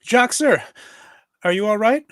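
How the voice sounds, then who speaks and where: A man plays an earnest young woman companion with a taste for spooky tales. The pitch sits high and the sound is dry. It is a storytelling delivery from a comedic script read aloud. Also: animated, measured pace, fairly bright tone, no echo. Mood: concerned.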